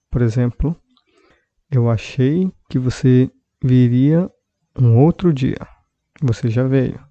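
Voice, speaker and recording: A man with a Brazilian Portuguese accent, hosting a podcast language lesson and explaining verbs calmly and patiently, repeating example sentences. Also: mid-range voice, no echo, muffled recording. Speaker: podcast host and language teacher.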